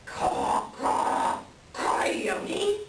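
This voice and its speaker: A woman playing an excitable, indignant raven with theatrical exclamations and harsh caws in a readers theater folktale. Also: extremely slow, whispered. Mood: scornful, indignant. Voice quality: harsh cawing outbursts. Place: library room at a live reading. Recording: roomy, distant live recording with noise.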